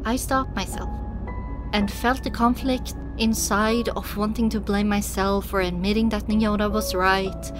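A woman's voice: medium pitch, steady tone, measured pace. A woman reading first-person dramatic audiobook narration as a scripted story. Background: music.

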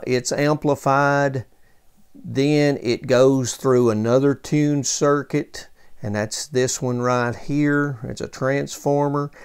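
Slow-talking man with a creaky, clear voice. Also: medium pitch, darkish tone. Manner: halting, thinking aloud. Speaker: presenter of vintage radio repair tutorial. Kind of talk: casual unscripted hands-on technical walkthrough. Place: indoors at a workbench.

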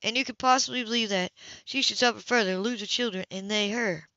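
Woman, amateur audiobook reader, bright tense tone, dull dry recording, occasional slips. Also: medium pitch, quick pace, no echo. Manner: reads with frequent slips and restarts. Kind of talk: amateur novel reading.

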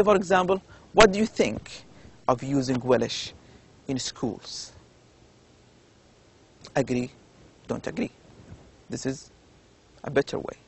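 A male university lecturer with an Arabic accent talks through slides at a very unhurried pace. His voice sits a little high and has a gravelly, creaky texture. He pauses often and fills gaps with hesitations as he explains. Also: a little hiss or hum. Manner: slow, deliberate explaining with many pauses and fillers. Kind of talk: recorded lecture over slides.

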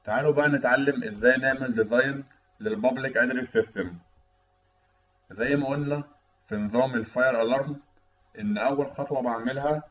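Egyptian guy explaining technical stuff like a teacher, mid-pitched voice, kinda muffled lo-fi recording. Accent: Egyptian Arabic. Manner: didactic, asks and answers own questions. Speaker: instructor. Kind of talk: explanatory technical lecture over slides. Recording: dull, lo-fi voice-over recording.

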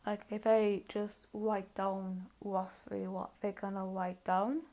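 A woman gives casual reaction commentary as host. She talks slowly and hesitantly at an average pitch, working out her opinion as she goes. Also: flat delivery, warm tone. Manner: hesitant, thinking aloud.

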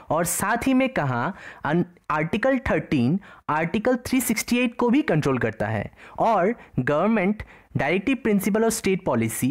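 A woman narrating a slide-based exam-prep lecture, explaining each term in two languages. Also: on the low side. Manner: explains each term twice, in Hindi and in English.

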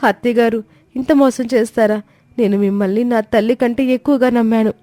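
A woman's voice: a little high, fairly even intonation, fairly quick, mellow, no room sound, lightly acted. A woman voicing a hurt young daughter-in-law from a poor family, earnestly pleading in a dramatised moral story. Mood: hurt, betrayed.

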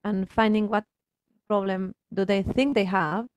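A woman's voice: mid-range voice, steady tone, measured pace, no echo. A woman from Argentina, a native Spanish speaker with an Argentine accent, explaining things earnestly and emphatically in a reflective coaching conversation.

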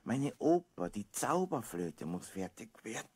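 An actor playing a sick, frightened and exhausted composer in an audio drama, husky, halting, with an Austrian accent. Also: male voice, medium pitch, full character voice. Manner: halting, repeating words. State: feverish, weak. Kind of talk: German audio drama acting.

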